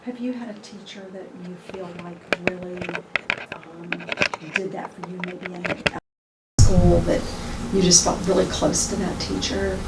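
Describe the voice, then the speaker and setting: A woman interviewing in a school building, asking questions in a gentle, conversational way. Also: on the low side, fairly even intonation, measured pace. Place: noisy school building, indoors. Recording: roomy, distant mic, background noise.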